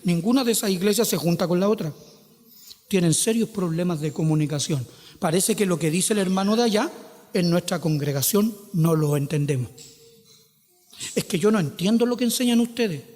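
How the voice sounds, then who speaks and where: A man's voice: high-pitched, normal speed, a little mellow, big-room sound. A Chilean man preaching a sermon, posing rhetorical questions and stretching out quoted scripture with emphasis. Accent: Chilean Spanish. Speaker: pastor.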